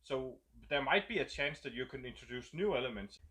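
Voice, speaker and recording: A man's voice: medium pitch, expressive, a little fast, fairly bright tone. A man with a Danish accent, a game designer casually explaining a new roleplay setup while thinking aloud and searching for words.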